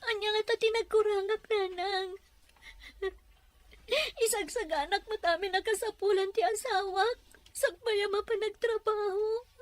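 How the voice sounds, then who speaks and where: A woman plays a distraught young wife with an Ilocano accent. Her voice is extremely high and breaks with crying as she pleads and clings, in the manner of a melodramatic audio drama. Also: steady tone, measured pace, no room sound. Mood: tearful pleading. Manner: pleading, tearful.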